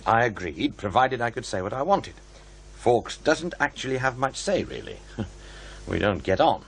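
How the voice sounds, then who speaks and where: An actor playing a reasonable, quietly defensive writer in a radio drama, a husky voice and a diplomatic manner. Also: male voice, medium pitch, very animated, moderate pace. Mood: defensive, frank. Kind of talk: naturalistic radio drama dialogue.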